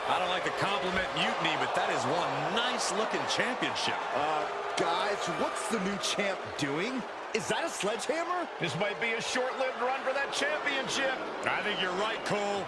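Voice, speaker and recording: A man acting as an urgent, emphatic pro wrestling TV commentator in a video game, calling the action play-by-play. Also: sits high. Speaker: sports commentator. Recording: very noisy arena ambience.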